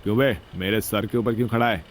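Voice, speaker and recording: A man's voice: on the low side, fairly even intonation, quick pace, slight background noise, lightly acted. A man voicing a cruel, pompous rich mill owner in a story cartoon, talking in a bossy, taunting way. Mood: scornful, angry. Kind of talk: Hindi moral-story cartoon dubbing.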